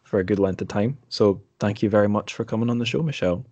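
A man with a notably low voice hosts a casual podcast. He rambles informally and puts himself down a little as he goes. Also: quick pace, darkish tone, husky, no room sound, muffled recording. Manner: informal, self-deprecating, rambling. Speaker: podcast host.

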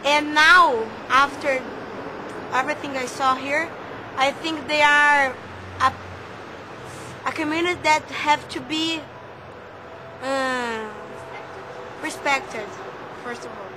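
A woman's voice: high voice, fairly even intonation, slow pace, long pauses, noisy background. A young woman student from Brazil, speaking frankly and carefully in an outdoor interview. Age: young adult. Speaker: university student. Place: outdoors by a garden of palms.

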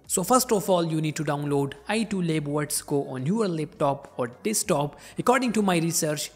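Husky-voiced man narrating a tech tutorial. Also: on the high side, long reverb tail. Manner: upbeat, promotional, talks straight to viewers. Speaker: tech channel host. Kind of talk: scripted tutorial voice-over.

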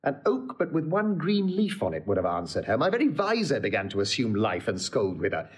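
An actor playing a witty, proud bachelor lord in a theatrical, blustering Shakespearean style. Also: male voice, medium pitch, huge pitch swings, fairly quick. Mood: comic outrage. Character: witty wounded-proud young bachelor lord, railing at a lady. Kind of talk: classical Shakespeare stage acting.

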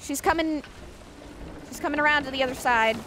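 A woman's voice: sits high, brisk, background noise. A woman chatting casually with friends while playing a pirate game online. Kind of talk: casual gaming chat.

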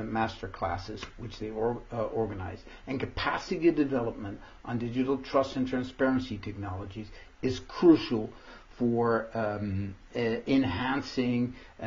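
A man hosting an online session, introducing speakers in a halting way with many fillers and restarts. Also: medium pitch. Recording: dull lo-fi audio.

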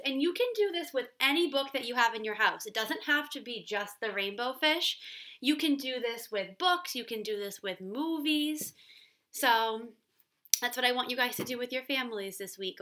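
A woman in her twenties, a teacher reading aloud and explaining a story to children in a deliberate way. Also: on the high side, bright, forceful tone. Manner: deliberate, explanatory, checks in with "right?". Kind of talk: children's read-aloud lesson. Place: small room at home, indoors.

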